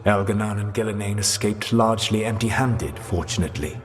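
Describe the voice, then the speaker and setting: An actor playing a solemn, proud, regretful ancient elf mage in a video game, speaking in a measured, formal way. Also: male voice, fairly low pitch, natural voice. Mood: grave, calculating. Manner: measured, formal, deliberate, grave. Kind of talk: video game dramatic dialogue. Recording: long echoing reverb.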